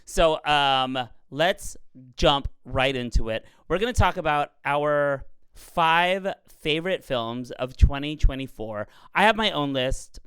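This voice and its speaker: Hoarse, chatty man with a cold. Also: on the high side, animated, a bit bright, no echo. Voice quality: raspy. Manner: chatty, campy, fast asides. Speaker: podcast host. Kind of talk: casual chat.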